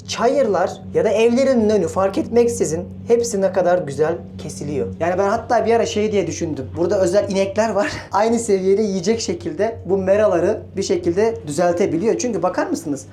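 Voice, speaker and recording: A man's voice: high-pitched, brisk, hardly any pauses, dark tone, slight background noise. A man hosting a casual travel vlog, talking to viewers in a chatty, informal, joking way. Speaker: travel vlogger.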